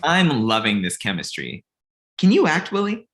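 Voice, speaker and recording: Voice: medium pitch, huge pitch swings, normal speed, a bit bright. An actor playing a glib, self-absorbed amateur male film director in a comedy table read, breezy and confident. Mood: pushy.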